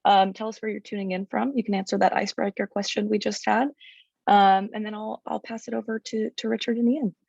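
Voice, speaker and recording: Gravelly-voiced upbeat woman host. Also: mid-range voice, level tone, a little fast, darkish tone, no room sound. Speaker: webinar host. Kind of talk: host moderating a live webinar. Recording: dull lo-fi webinar audio, dry.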